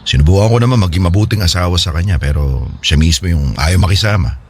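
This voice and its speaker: A man's voice: sits low, fast talker, slight background noise, close to an everyday voice. A man playing an earnest, regretful separated husband and devoted father in a radio drama, speaking in a confiding, hesitant way. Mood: hurt, confiding. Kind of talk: Filipino radio drama dialogue.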